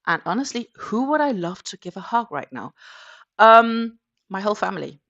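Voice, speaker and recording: A woman's voice: mid-range voice, big pitch swings, normal speed, vocal fry, fairly bright tone, highs cut off. A Danish-born woman hosting a casual morning crochet livestream in English, chatting in a rambling way with lots of fillers. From Denmark.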